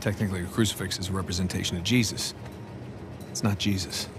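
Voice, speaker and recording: An actor playing a wry, stubborn young American treasure hunter in a cinematic game. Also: male voice, sits low, steady tone, quick pace, music in the background. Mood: matter-of-fact. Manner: wry, sardonic.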